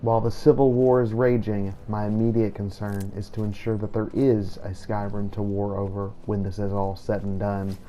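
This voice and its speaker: A man's voice: on the low side, level tone, moderate pace, few pauses, mellow, a little hiss or hum. A man hosting a roleplay game series, narrating in a scripted, first-person journal style. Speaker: gameplay series host and narrator.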